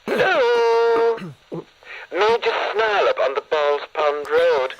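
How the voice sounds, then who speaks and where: An actor playing a brusque police dispatcher calling for cars over a radio. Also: male voice, high voice, animated, slow, a little hiss or hum. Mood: impatient calling. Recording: dull, muffled police radio.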